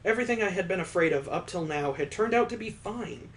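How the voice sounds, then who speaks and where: A man with a slightly high voice narrates a visual novel for a gaming playthrough. He moves between reading the prose out and tossing in wry, joking asides in a casual way. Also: lively intonation, fairly quick, fairly bright tone. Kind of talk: let's play read-aloud with ad-libbed commentary.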